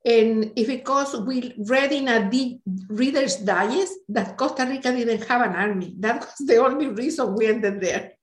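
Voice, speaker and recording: A woman in her late sixties speaks English with a Chilean Spanish accent. Her voice sits a little high as she looks back on her life as an interview guest. The room adds a clear echo around her. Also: moderate pace, hardly any pauses. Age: late 60s. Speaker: interview guest, retired NGO director. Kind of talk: reminiscing in an interview.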